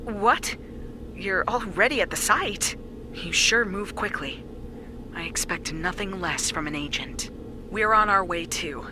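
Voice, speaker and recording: A woman voicing a brisk, commanding field leader in a video game, businesslike, over noisy ambience. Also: medium pitch, animated, lightly acted. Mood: urgent, focused. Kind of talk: dramatic video game acting.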